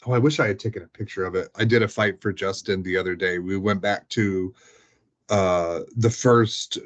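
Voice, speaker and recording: A man's voice: low-pitched, fairly even intonation, normal speed. A man chatting casually as he recounts tabletop game sessions, rambling and peppering his talk with "like". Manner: rambling, frequent "like" as filler. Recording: dull, lo-fi sound.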